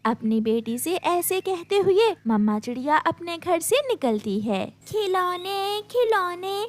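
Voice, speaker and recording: A woman's voice: sits high, animated, unhurried. A woman storyteller reading scripted narration for a children's story.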